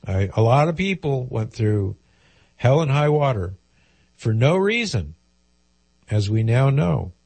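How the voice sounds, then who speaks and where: Husky, nasal man hosting call-in radio. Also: mid-range voice, big pitch swings, measured pace, long pauses, a little mellow, no room sound. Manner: conversational, explains at length with fillers. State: head cold, a little nasal.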